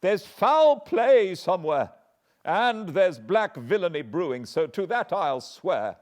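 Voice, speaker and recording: A man's voice: high-pitched, sing-song, slow, darkish tone, pitched above the performer's own voice, full character voice. An English man voicing an anxious, earnest older butler who pleads and frets. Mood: alarmed. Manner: agitated, pleading.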